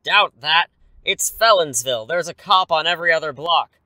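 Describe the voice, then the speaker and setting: A man's voice: fairly high pitch, animated, normal speed, pushed and bright, dry recording, rough recording. A man playing a wordy superhero in his thirties who is secretly an English teacher, theatrical but easily flustered, in a comedic audio drama. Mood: cocky, heroic. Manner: glib, wordy, awkward when cornered.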